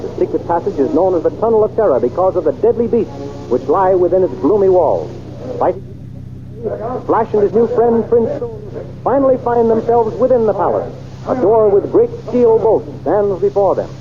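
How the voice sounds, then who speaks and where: A man's voice: high-pitched, animated, moderate pace, over music. A man narrating a 1930s radio serial, telling the story in a dramatic way. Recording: muffled old radio recording with hiss.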